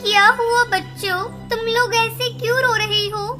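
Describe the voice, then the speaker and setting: A woman voicing a gentle, earnest young female bird in a cartoon story. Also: very high-pitched, steady tone, measured pace. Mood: kind, reassuring. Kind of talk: Hindi cartoon story dialogue. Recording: music under the voice.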